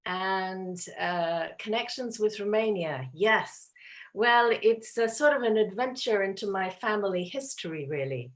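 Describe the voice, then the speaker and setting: A woman's voice: mid-range voice, lively intonation, measured pace. An older woman in her sixties with a southern English accent, speaking hesitantly with many fillers on a video call from a room with bookshelves. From England. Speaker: participant.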